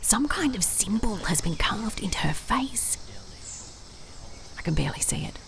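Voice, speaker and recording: A woman playing a curious, determined private investigator in an audio drama, speaking in a clipped, brooding, measured way as if dictating case notes. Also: mid-range voice, animated, gravelly, a bit bright, in a whisper. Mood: hushed, intrigued.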